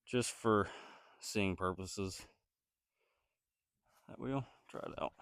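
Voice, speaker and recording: A man's voice: lowish voice, slow, a bit bright, dry recording, studio quality. A man with a cold-stuffed nasal voice, thinking aloud in a hesitant, rambling way as he works on a building project and talks to his viewers. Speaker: DIY builder vlogger. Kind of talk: casual self-talk while building.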